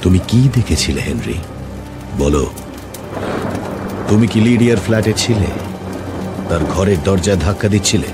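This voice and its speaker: A man playing a cold, relentless tormentor in an audio drama, accusing and taunting with deliberate, insistent delivery. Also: low voice, animated, loud music behind the voice. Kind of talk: audio drama acting, Bengali mixed with English.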